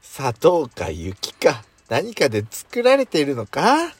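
With soft music behind, a man acts a doting husband in an amateur radio play. The voice is somewhat husky. He speaks with gentle, flirtatious teasing. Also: fairly high pitch, huge pitch swings, slow, no echo, voice pushed up, heavily acted. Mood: tender, teasing. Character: devoted husband home late from work. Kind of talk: amateur radio drama acting. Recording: background music under speech.

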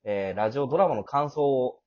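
A man's voice: mid-range voice, expressive, a little fast, darkish tone, muffled recording. A man hosting a casual cast after-talk, talkative and given to chuckling. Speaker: host.

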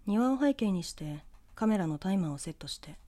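A woman reading a scripted horror story in the first person, with measured storytelling delivery. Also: mid-range voice, expressive, dark tone. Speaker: ghost story narrator.

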